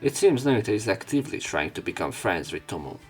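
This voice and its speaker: A man's voice: low voice, sing-song, fairly quick, darkish tone, breathy edge, soft background music. A man doing let's play commentary, reading visual novel narration aloud with opinionated asides. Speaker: let's player.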